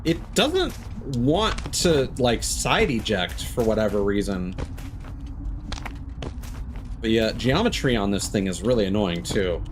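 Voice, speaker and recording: A man giving casual live commentary as he plays a video game, talking haltingly as he thinks aloud. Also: fairly low pitch, big pitch swings, background noise.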